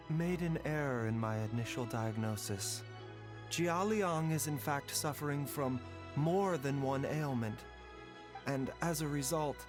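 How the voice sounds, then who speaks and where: A man playing a calm, courteous doctor in a video game, speaking in a measured, formal way with grave concern. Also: medium pitch, close to an everyday voice. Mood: calm, explanatory. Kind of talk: scripted video game voice acting. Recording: background music under the voice.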